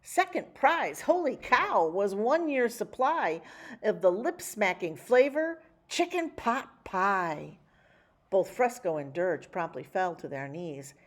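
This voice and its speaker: A woman reading a rhyming children's picture book aloud, with theatrical, measured phrasing and dramatic emphasis. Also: mid-range voice, lively intonation. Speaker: children's story reader. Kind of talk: expressive picture-book read-aloud in rhyme.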